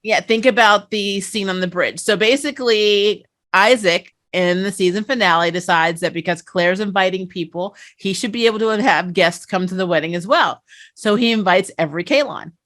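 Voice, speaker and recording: A woman hosting a casual fan review, chatting in an animated, storytelling way. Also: medium pitch. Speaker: talk show host and interviewer.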